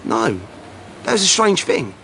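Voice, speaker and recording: A hapless young-sounding male constable with a high, swooping voice. He is timid and plaintive, stumbling defensively through his answers in a radio drama, with faint noise behind him. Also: big pitch swings, unhurried, gravelly, bright, forceful tone, heavily acted. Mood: flustered, defensive. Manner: hesitant, flustered, defensive, plaintive. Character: hapless, dim, timid male patrol policeman.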